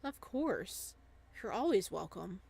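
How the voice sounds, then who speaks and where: A woman voicing a guarded but softly affectionate thirty-one-year-old fisherman in a visual novel. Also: mid-range voice, sing-song, takes it slowly, creaky, breathy edge, exaggerated voice. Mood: soft, reassuring. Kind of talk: visual novel dialogue reading.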